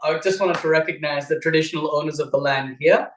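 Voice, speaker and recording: A man born in India who grew up in Australia, speaking with an Australian accent as co-host of a casual chat, animated and teasing. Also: a little high, fast, echoey room, distant mic, slight background noise. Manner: animated, teasing, repeats words when restarting.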